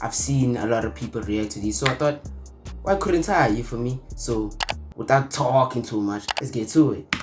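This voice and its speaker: A man's voice: mid-range voice, moderate pace, music in the background. A man hosting a casual reaction video, talking in a slangy, laid-back way and often checking in with his audience. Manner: slangy, laid-back, frequent "you feel me".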